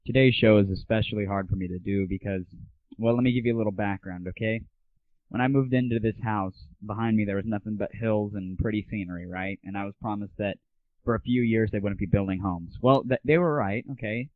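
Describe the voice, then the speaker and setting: An American man speaks as a casual comedy show host. His voice sits fairly low, in dry, phone-like lo-fi sound. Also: brisk, dry recording. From United States. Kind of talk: casual comic monologue and game review.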